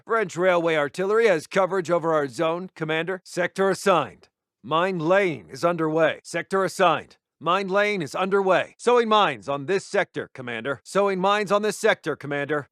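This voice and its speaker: A dutiful wartime intelligence officer from a strategy game, pitched high for a man. He reports in clipped, formal military phrases, recorded close and dry with no room sound. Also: fairly bright tone, no room sound. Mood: brisk, dutiful. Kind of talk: video game voice lines, battlefield radio reports.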